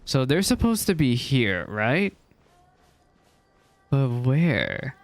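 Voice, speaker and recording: A man casually reacting aloud to a game's story, excitable and quick to gasp or laugh. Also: mid-range voice. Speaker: video game reaction host. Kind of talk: casual reaction commentary over gameplay. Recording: game music playing underneath. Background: game music.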